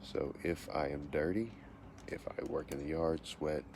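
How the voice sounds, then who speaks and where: Man, 40s, deep voice. Reads questions and answers them matter-of-factly. Casual self-interview, noisy recording. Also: steady tone, unhurried, darkish tone. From Texas, USA. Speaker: vlogger answering a question tag.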